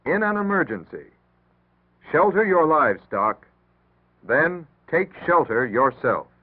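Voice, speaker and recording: A man's voice: a little high, very animated, slightly rough. Tinny vintage American male PSA announcer. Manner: measured, instructive, earnest. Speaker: public service announcer. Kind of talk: 1960s civil defense PSA scripted narration. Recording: tinny band-limited 1960s broadcast audio.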